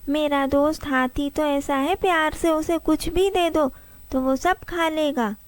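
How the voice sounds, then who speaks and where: A woman's voice: high-pitched, steady tone, measured pace, no echo. A woman voicing a cheerful, eager young male rabbit in a children's cartoon story. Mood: reassuring.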